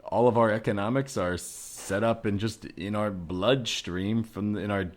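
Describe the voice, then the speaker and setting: A man playing a mild, learned doctor from the far future in improvised comedy, speaking in a measured, hesitant, mock-scholarly way. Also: on the low side, steady tone, close to an everyday voice. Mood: matter-of-fact.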